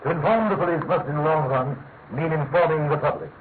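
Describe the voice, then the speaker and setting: An actor playing an austere, dominant British statesman in a classic radio drama, with weighty, deliberate, formal delivery. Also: fairly high pitch, lightly acted. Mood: grave, stern. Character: austere, dominant elder statesman, grave Prime Minister. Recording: thin narrow-band old radio recording, faint noise.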